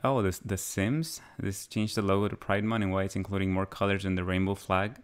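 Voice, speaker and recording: A man raised in Mexico co-hosts a relaxed podcast, his voice sitting very low. He rambles and muses as he talks, often breaking into laughter. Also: a little fast, smooth. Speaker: podcast co-host. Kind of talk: casual chat.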